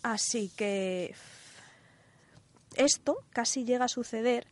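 A woman from Spain with a Spanish accent talks in a fairly high voice, recorded dry. She explains things like a casual radio co-host, chatty and colloquial, peppering her account with little tag questions and fillers. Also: slow, no echo. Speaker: radio show co-host and economist.

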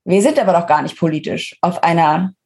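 A woman's voice: lowish voice, a little fast, darkish tone, breathy edge. A woman speaking as an interview guest, giving articulate, explanatory political analysis in a conversational way.

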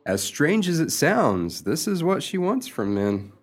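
A man's voice: fairly high pitch, sing-song, unhurried. An actor playing a mild, helpful male friend in a radio comedy, speaking in an earnest, theatrical way. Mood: helpful, confiding. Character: meek, helpful old friend giving sly romantic advice. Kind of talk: radio comedy play acting.

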